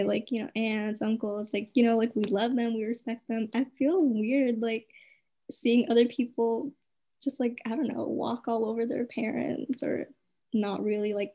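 A young woman chats casually, peppering her speech with "like" and "you know". Her voice is a little high, with a warm, mellow tone. The recording is somewhat muffled. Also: creaky. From Habesha family, raised in the United States. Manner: chatty, heavy use of "like". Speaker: conversation partner. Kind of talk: casual conversation. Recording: muffled, dull sound.

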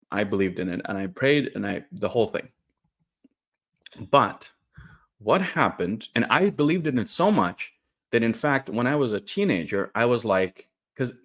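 A young man from India telling a personal story to camera in a candid, self-correcting way. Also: on the low side. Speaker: vlogger.

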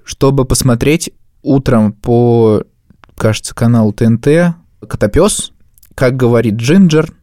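A man's voice: medium pitch, unhurried, dark tone, no room sound. Male podcast co-host, mid-range voice, conversational, dry recording. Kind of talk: casual chat, recollections.

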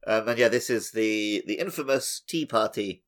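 A man's voice: lowish voice, moderate pace. A man chatting casually as a podcast host.

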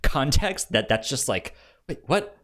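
Halting, stammering man with lively pitch swings. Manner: halting, often stammering and repeating words. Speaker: podcast host. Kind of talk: casual podcast chat.

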